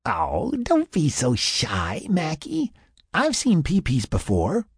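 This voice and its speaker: Guy doing a tipsy girl for an audiobook, teasing n bossy, high husky voice thats all over the place. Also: huge pitch swings, slow pace, no echo, studio quality, heavily acted. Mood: playful, tipsy. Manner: drunken lilt, teasing, exclaiming. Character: tipsy, playful, bossy young woman in adventure story.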